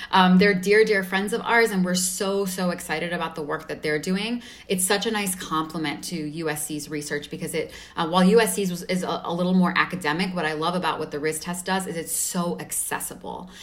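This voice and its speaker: A woman's voice: on the low side, a bit bright. A woman speaking as an interview guest, explaining her organization's work in an articulate, enthusiastic way. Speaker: guest representing an advocacy organization.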